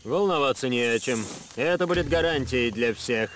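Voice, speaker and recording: An actor voicing a menacing, commanding adventurer in a jungle cartoon, speaking curtly. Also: male voice, medium pitch, normal speed, a bit bright, close to an everyday voice. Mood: confident, scheming. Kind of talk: animated series voice acting in Russian.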